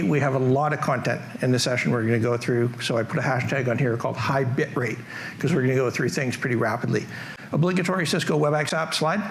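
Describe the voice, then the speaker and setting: A man's voice: medium pitch, a little hiss or hum. A middle-aged man with a Canadian accent giving a casual, joking tech conference talk in a large hall. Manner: casual, joking, self-deprecating. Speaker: conference presenter.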